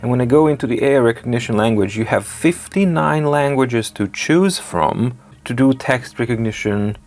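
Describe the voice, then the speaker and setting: A man's voice: medium pitch, a little mellow. Serbian man walking through a gadget demo. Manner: enthusiastic, explanatory, measured with hesitations. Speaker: tech reviewer. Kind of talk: screen demo walkthrough.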